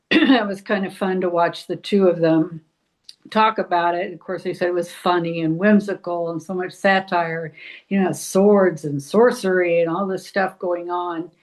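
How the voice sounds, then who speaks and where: A woman's voice: lowish voice, steady tone, measured pace. A woman chatting casually in a group discussion as a film club member.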